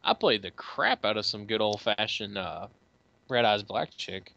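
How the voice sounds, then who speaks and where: A man's voice: lowish voice, lively intonation, unhurried, vocal fry, pushed and bright, no room sound. A man co-hosting a casual fan movie commentary, joking crudely and swearing freely. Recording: dry, dull lo-fi home mic.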